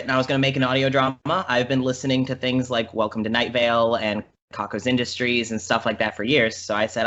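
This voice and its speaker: A man with a bright, crisp-edged voice talks as a guest, informal and eager, telling things conversationally. The audio is slightly dull and lo-fi. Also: mid-range voice. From Indiana, American Midwest. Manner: enthusiastic, informal. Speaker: podcast guest, audio drama creator and voice actor. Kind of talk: casual conversational storytelling.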